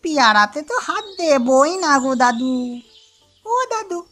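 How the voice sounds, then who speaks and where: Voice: high-pitched, sing-song, unhurried, sharp tone, soft background music, heavily acted. A performer voicing a frightened young village boy in a cartoon, pleading and whiny. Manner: pleading, wailing. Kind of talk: Bengali cartoon acting.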